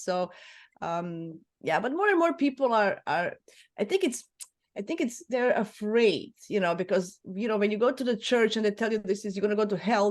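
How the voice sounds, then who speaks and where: A middle-aged woman from Croatia with a Croatian accent, a native Croatian speaker, talking casually as an astrologer being interviewed, often restarting and correcting herself. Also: mid-range voice. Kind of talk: casual chat.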